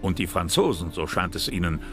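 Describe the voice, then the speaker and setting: Man, documentary narrator, very deep voice, calm, measured, serious, music behind.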